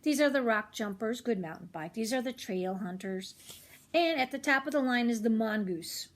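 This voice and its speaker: A woman voicing an eager high school boy selling bikes with upbeat sales patter in a children's storybook. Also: lively intonation, moderate pace, lightly acted. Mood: proud.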